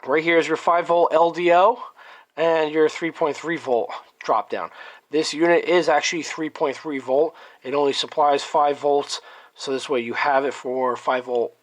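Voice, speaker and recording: A man's voice: on the high side, steady tone, moderate pace. A man still getting over a cold, his voice a little off, casually walking through his electronics project at a workbench while thinking aloud. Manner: thinking aloud, frequent ums and self-corrections. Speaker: electronics hobbyist presenter. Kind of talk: casual hands-on tech walkthrough.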